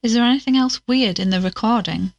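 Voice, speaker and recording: A woman's voice: fairly high pitch, brisk, creaky, no echo, lo-fi sound. An actress playing a guarded, uneasy woman troubled by bad dreams in an audio drama, reticent and questioning.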